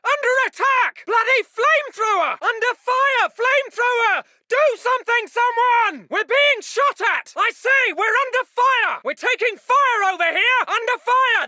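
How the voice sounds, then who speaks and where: A man's voice: sits high, pushed and bright, dry recording, clean studio sound. A man playing a young, polite, easily flustered British wartime army medic in a video game, with a plummy upper-class English accent and old-fashioned manners. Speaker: voice actor. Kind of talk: video game unit voicelines.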